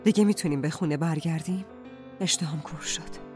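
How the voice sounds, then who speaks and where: A woman voicing a middle-aged wife in a novel, soft, measured and quietly resolute. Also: lowish voice, lively intonation, faint music behind, lightly acted. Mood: subdued.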